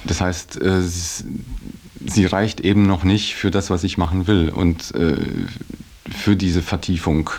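A man's voice: low-pitched, fairly even intonation, unhurried, darkish tone. A middle-aged man giving a reflective personal account in a hesitant way, searching for his words. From Belgian-German background. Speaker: interviewee.

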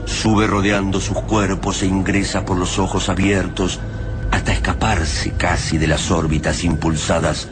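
A man's voice: deep voice, little pitch movement, unhurried. A man narrating a radio drama in a scripted, dramatic storytelling style. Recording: music behind the voice.

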